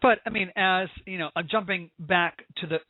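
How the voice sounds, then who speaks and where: A man's voice: high-pitched, normal speed, no echo. An American man hosting a casual interview show, effusive and theatrical, with a teasing way of talking. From United States. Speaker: talk show host. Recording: tinny, phone-like narrow-band audio.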